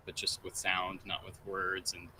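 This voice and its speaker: A man band member answering thoughtfully, in a measured way. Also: low-pitched, completely flat delivery, sharp tone, a little hiss or hum, rough recording.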